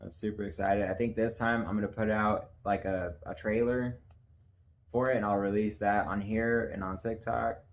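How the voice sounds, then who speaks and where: A man co-hosting a casual podcast who talks in a profane, animated way and laughs often. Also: on the low side, moderate pace, slight background noise. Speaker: podcast co-host. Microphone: camera facing the hosts.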